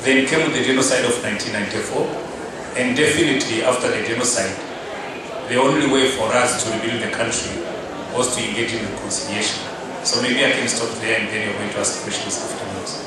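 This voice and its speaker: A man in his fifties from Rwanda, speaking slowly and with many pauses as he gives a personal testimony at a press briefing. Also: medium pitch. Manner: measured, pausing with frequent "uh". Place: press conference room. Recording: very echoey room, mic far off, loud background noise.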